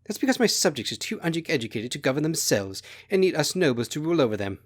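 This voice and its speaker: A man's voice: on the high side, big pitch swings, fast, no echo, voice pushed up. A man voicing an idealistic, naive sixteen-year-old princess with an earnest, proud manner in a story.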